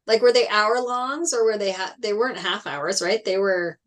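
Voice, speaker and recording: A woman's voice: medium pitch, moderate pace, fairly bright tone. A woman in a casual group chat, informal and inquisitive.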